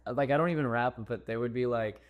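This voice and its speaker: A man's voice: mid-range voice, moderate pace, slight background noise. A man co-hosting a podcast in casual chat, talking in a loose, rambling, joking way. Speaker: podcast co-host.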